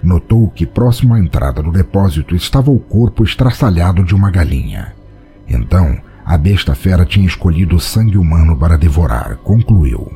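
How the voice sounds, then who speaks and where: A male narrator tells a dramatic story in a Brazilian accent. His voice is very deep and soft-toned, with lively swings of intonation, and music plays behind it. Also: fairly quick.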